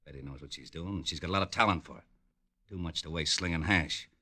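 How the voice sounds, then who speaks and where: An actor playing a smug, menacing crook with a gun, coolly threatening in an old-time radio crime drama. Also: male voice, very deep voice, quick pace, pushed and bright, heavily acted. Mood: cool menace. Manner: cool, threatening, tough slang. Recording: vintage radio drama recording.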